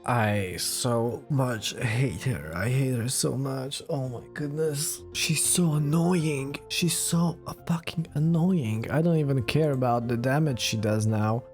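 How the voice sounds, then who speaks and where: A woman streaming a game with casual, off-the-cuff commentary. Her voice is extremely low and dark, and she talks bluntly, grumbling and swearing. There is faint music in the background. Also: slow, few pauses. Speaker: gaming streamer. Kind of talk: casual let's play commentary.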